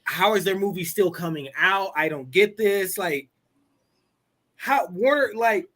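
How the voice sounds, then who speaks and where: A man co-hosting a casual geek talk show, animated as he wraps up and plugs merch. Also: sits high, measured pace. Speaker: co-host. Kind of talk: casual podcast chat.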